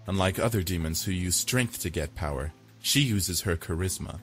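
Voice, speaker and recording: A man's voice: deep voice, lively intonation, normal speed, a bit bright, soft background music. An actor voicing a serious, level-headed, wary young man in a visual novel game.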